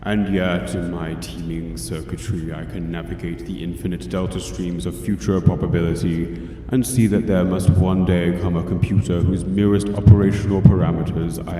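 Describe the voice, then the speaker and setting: An amateur actor playing a grandiose, weary, all-knowing computer in a radio comedy, pompous and deliberate. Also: male voice, deep voice, mellow, lightly acted. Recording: echoing hall, distant, faint noise.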